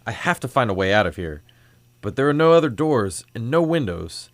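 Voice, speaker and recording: A man acting a reluctant superhero in a radio play, sincere and unsure of himself. His dramatic delivery swings widely in pitch, recorded close and dry. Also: sing-song, fairly quick, no echo. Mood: bewildered.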